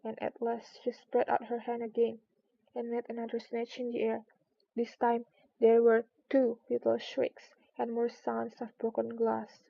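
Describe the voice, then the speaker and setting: A woman narrating a storybook in an expressive read-aloud style. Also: fairly high pitch, a little slow, vocal fry, no echo. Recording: dull lo-fi recording.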